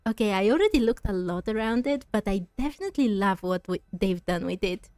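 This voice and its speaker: A woman's voice: medium pitch, lively intonation, brisk, darkish tone, no echo. A woman giving casual commentary as she plays a video game. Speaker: gaming streamer.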